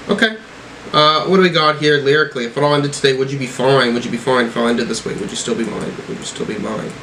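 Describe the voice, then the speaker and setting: A man's voice: medium pitch, noisy background. A man giving a casual music reaction as a host, musing and thinking aloud as he talks. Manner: musing, thinking aloud while reading out lyrics.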